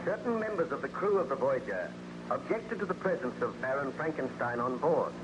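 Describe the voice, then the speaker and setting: A man's voice: medium pitch, lively intonation, a little fast. Old-time radio announcer man over music. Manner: formal, dramatic storytelling. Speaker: announcer and narrator. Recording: dull old radio serial recording.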